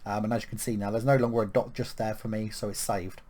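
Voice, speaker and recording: A man from the UK talking through a software setup step by step, with lots of ums and small restarts. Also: fairly low pitch, fast. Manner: relaxed, filler-heavy walkthrough with frequent ums. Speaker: web tutorial presenter. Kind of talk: screen-recorded software tutorial.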